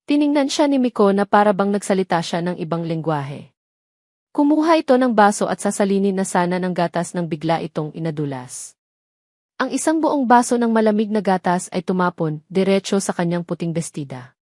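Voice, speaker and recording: A woman's voice: mid-range voice, expressive, a little fast, darkish tone, no echo. Woman narrating a dramatic story, dry sound.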